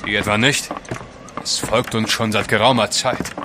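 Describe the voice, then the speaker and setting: An actor playing a wary, sardonic and plain-spoken seafarer on a polar trek in an audio drama. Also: male voice, lowish voice, fairly even intonation, normal speed, natural voice. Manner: blunt, sardonic. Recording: clear outdoor background noise.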